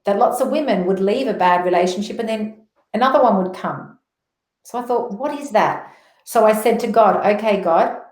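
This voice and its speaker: A woman's voice: mid-range voice, darkish tone. Echoey middle-aged Australian woman, earnest. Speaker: interview guest, motivational speaker. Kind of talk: personal spiritual testimony. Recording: roomy echo on voice.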